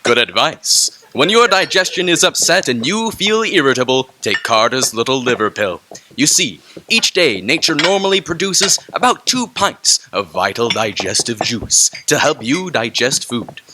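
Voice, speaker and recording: A man's voice: big pitch swings, moderate pace. Male announcer for a retro radio ad, confident, noisy recording. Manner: confident salesman pitch. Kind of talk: vintage radio ad read.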